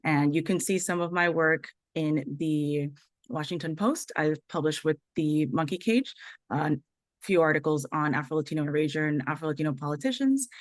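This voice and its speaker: A woman's voice: deep voice, no room sound, clean studio sound. A woman introducing herself as a panelist on an online webinar, speaking fluently and formally. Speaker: panelist, PhD candidate. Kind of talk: prepared self-introduction.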